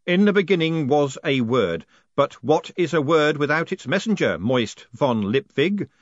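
A man voicing a commanding mystical voice made of letters, intoning slowly and solemnly. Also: on the high side, expressive, no echo, dull audio, pitched above the performer's own voice.

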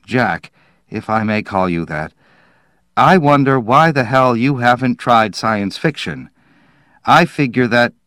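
A man's voice: mid-range voice, expressive, slow, fairly bright tone, no room sound, clean studio sound, pitched above the performer's own voice. Hapless male time traveler, voiced by a male narrator: earnest, defensive, feebly pleading, audiobook style. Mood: desperate.

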